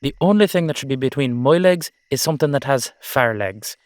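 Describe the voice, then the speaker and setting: Exasperated Irish headless horseman played by a man: blustering, sweary, loud, pitched up, audio drama, close dry sound. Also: lively intonation, brisk, no room sound, natural voice. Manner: blustering, profane, complaining. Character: exasperated, foul-mouthed Irish dullahan horseman. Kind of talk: audio roleplay drama acting.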